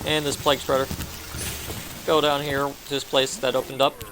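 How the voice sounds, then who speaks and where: A man's voice: mid-range voice, little pitch movement, moderate pace, very noisy. A man giving a casual game walkthrough, narrating his own actions offhandedly in short phrases. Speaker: gameplay commentator. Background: game music.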